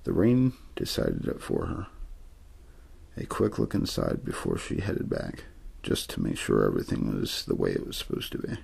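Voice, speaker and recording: A man with an extremely deep, heavily creaky voice reads a story in ASMR style. His tone is very husky and rough. He reads as an amateur aiming to lull the listener to sleep, without theatrics. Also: huge pitch swings, moderate pace, a little mellow. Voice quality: very low, gravelly, husky. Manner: untheatrical reading meant to lull to sleep.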